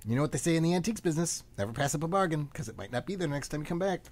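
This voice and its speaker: Shady little brother played by a woman: very low husky voice, lively swings, cocky and folksy radio drama delivery. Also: rapid-fire. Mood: glib. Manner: glib, folksy, defensive. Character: cocky, defensive kid brother, shady antiques dealer. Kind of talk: live radio play acting.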